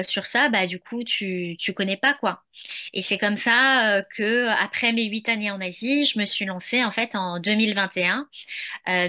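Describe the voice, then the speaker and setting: A woman's voice: mid-range voice, pushed and bright. A young French woman talking in an interview, hesitant, with many fillers and restarts. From France. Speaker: podcast creator, guest. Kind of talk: casual interview conversation.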